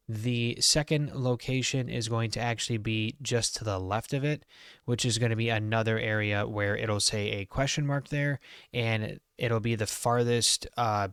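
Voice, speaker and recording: A man's voice: medium pitch, fairly bright tone, clean tone, no echo, clean studio sound. A man giving a casual game walkthrough, explaining things informally as the host of a guide.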